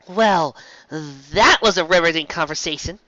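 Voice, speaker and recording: A woman voicing an eager, bossy young female pony, brisk and impatient with a falsely cheery edge. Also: on the low side, bright, forceful tone, no room sound, highs cut off, pitched above the performer's own voice.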